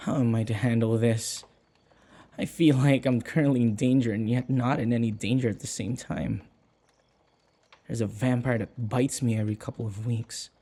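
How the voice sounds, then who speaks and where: A male actor plays a submissive human servant in a vampire audio roleplay. His voice is mid-pitched and mellow, and he speaks slowly and hesitantly. He stammers nervously, sighing and muttering anxious asides as he addresses his mistress. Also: vocal fry, slightly rough. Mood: frustrated, then brooding, resigned. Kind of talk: audio roleplay acting, spoken to the listener.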